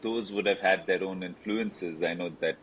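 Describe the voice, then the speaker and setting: Male host, very low voice, warm effusive interviewer; faint rumbling noise in the recording. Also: fairly even intonation, normal speed, poor audio. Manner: friendly, effusive, with fillers. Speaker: podcast host. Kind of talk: interview hosting.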